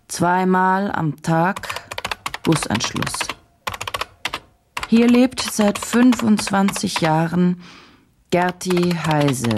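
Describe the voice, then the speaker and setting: A woman's voice: low voice, background noise, full character voice. An actress playing a driven, self-questioning woman journalist, terse and introspective, in a radio drama inner monologue. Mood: sober, reporting.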